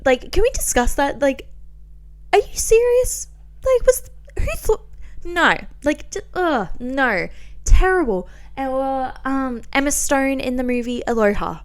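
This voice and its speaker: A woman in her twenties hosting a podcast in casual, chatty style, rambling through asides with lots of slang and emphatic, expressive phrasing. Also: high-pitched, unhurried. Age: 20s. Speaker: podcast host. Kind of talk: casual solo podcast chat.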